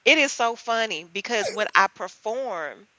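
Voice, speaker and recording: Soft-voiced sensual woman guest. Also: a little high, lo-fi sound, a crowd in the background. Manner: relaxed, spells things out slowly. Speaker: poet and performer, guest. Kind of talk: casual radio interview.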